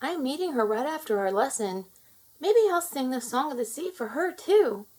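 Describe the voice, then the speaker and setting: Woman as a high-pitched sweet little girl. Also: expressive, normal speed, a little mellow. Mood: excited. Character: little girl music student, sweet, secretive, chatty.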